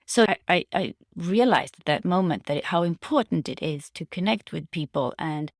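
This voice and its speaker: Low-pitched, creaky-voiced woman reflecting casually. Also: expressive, no echo, studio quality. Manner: halting, searching for words, restating phrases. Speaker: vlogger sharing personal reflections. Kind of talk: casual reflective monologue to viewers.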